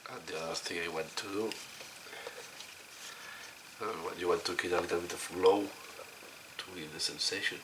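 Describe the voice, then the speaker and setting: Low-voiced hoarse man, non-native English. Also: fairly even intonation, normal speed, pauses often. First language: not English. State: hoarse from a cold, voice giving out. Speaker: painting tutorial host. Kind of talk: instructional commentary while demonstrating. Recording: clearly noisy recording.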